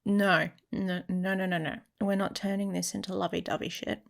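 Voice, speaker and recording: A woman playing a guarded, teasing young female werewolf in an intimate ASMR roleplay, with a wry, casual manner and a breathy, husky voice that often drops to a whisper. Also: fairly low pitch, little pitch movement, moderate pace. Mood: teasing, prickly.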